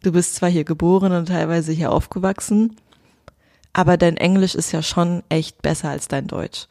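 A woman co-hosts a casual podcast and talks in a frank, chatty way, laughing often. Her voice has a gravelly edge and sounds dry and close to the mic. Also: lowish voice, steady tone, moderate pace, mellow, dry recording. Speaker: podcast co-host.

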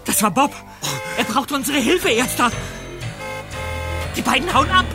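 An actor playing an excitable young male detective in a German radio play, urgent and alarmed. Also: very high-pitched, measured pace, over music, exaggerated voice.

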